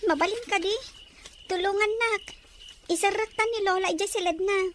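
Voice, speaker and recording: A woman's voice: very high voice, normal speed, a bit bright, a little hiss or hum. A woman playing a caring, curious young girl in a Filipino radio drama, with an Ilocano accent. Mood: concerned, questioning.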